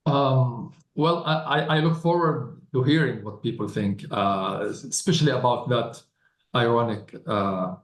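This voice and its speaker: A man speaking as a writer on a live panel, deliberate and thoughtful with frequent hesitant pauses. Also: medium pitch. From Palestinian. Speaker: novelist, festival panelist. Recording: echoey live event room.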